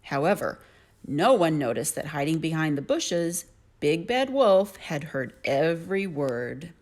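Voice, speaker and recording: A woman narrating a children's story in an expressive storytelling manner. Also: sits low, unhurried.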